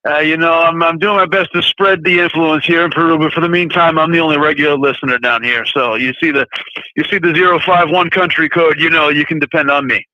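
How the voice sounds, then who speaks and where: A man in his forties phones in to a live show. His voice is bright and sits slightly high, and it comes through a dull, dry phone line. He talks in a blunt, joking way. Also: steady tone, a little fast, dry recording. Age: 40s. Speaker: caller. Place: calling in from abroad.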